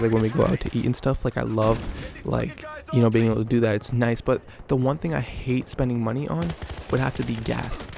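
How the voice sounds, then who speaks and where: A young man from Canada with a gravelly, just-woken-up rasp, wandering through answers with restarts and deadpan jokes. His pitch sits in the middle, and a faint hiss of room ambience runs under the voice. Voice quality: raspy, just woke up. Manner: rambling, self-correcting, joking. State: just woke up. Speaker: YouTuber. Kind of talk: casual Q and A vlog.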